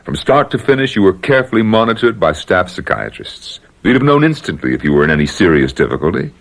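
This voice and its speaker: A man's voice: low-pitched, very animated, fast, gravelly, a bit bright, heavily acted. An actor playing a commanding spy chief in a radio drama, assured and placating as he explains. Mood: reassuring, pleased. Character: male spy chief, smooth, commanding, in control.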